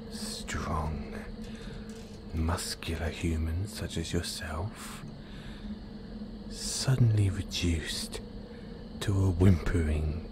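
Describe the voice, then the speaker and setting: A man playing a playful, menacing, possessive werewolf in an audio roleplay, teasing the listener in a breathy, half-whispered, predatory way. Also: low voice, heavy background noise. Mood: predatory, amused.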